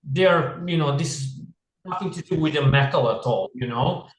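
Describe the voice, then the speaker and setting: A man from Serbia, answering interview questions in English over a video call, halting and full of "you know". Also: on the high side, roomy sound, low-quality recording. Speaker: band member.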